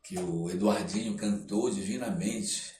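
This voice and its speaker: A Brazilian man with a lowish voice tells stories as an interview guest, reflective and rambling. He speaks very slowly and often closes phrases with a little checking tag. The room is echoey, with faint noise behind him. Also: dark tone. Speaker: interview guest, performing artist. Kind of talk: casual storytelling in a podcast interview.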